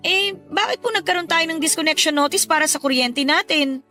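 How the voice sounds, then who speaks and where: Casting: caring, respectful young daughter, high and bright, Tagalog radio drama. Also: female voice, fairly quick, faint music behind. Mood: concerned.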